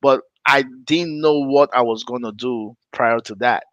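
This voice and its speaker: A man's voice: medium pitch, measured pace, fairly bright tone, no room sound, highs cut off. A middle-aged man from Nigeria, hosting a podcast and telling his own story in a loose, rambling way, often correcting himself mid-sentence. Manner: rambling, self-correcting, laughs between points. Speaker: podcast host. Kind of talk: casual storytelling chat.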